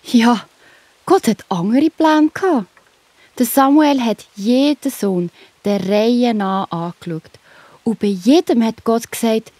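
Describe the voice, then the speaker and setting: A woman's voice: fairly high pitch, mellow, dry recording. A woman with a Swiss accent telling a Bible story to children as the narrator. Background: music.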